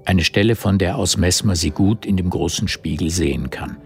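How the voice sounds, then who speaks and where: A man's voice: deep voice, moderate pace, creaky, soft background music. A man reading literary scripted narration as the narrator of a German radio drama.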